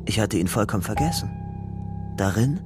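An actor playing a weary young ex-convict on the run in a German crime radio drama, haunted but stubbornly hopeful, in a subdued, confessional way. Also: male voice, on the low side, lively intonation, moderate pace, close to an everyday voice. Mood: subdued, bleak. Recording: music bed under the voice.

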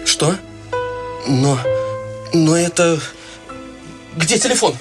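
A man's voice: a little high, lively intonation, extremely slow, music in the background. An actor playing an earnest, devoted young man in a radio play, with a shy, sincere way of talking. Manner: earnest, shy, turns urgent when alarmed.

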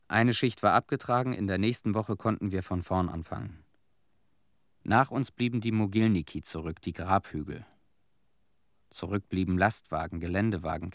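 Weary bitter ex-labourer, low pitch, terse sober monologue, radio drama, dry close sound. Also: male voice, no echo. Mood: sober recollection. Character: weary, bitter male former Chernobyl cleanup worker recalling horrors. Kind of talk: German radio play monologue.

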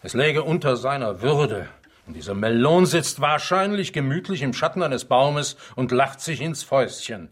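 An actor playing an indignant, sceptical learned doctor in a radio drama, scoffing and exasperated. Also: male voice, mid-range voice, animated, moderate pace, natural voice.

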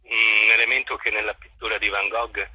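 A man giving a radio interview as a theatre director, speaking in a measured, halting way with elaborate, formal phrasing. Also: on the low side, little pitch movement, sharp tone, slightly rough, no room sound, poor audio. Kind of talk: radio interview, explanatory talk.

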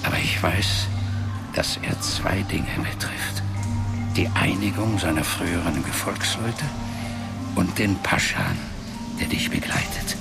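An actor playing an ancient, solemn wizard father in a fantasy radio drama, grave and measured, with a breathy half-whispering voice. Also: deep voice, exaggerated voice. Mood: solemn, urging. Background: background music.

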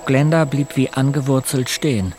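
An actor narrating a first-person story of boyhood in a German audio drama, plain and measured. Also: male voice, medium pitch, close to an everyday voice. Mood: observant. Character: male narrator looking back on a rough boyhood. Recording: faint music behind.

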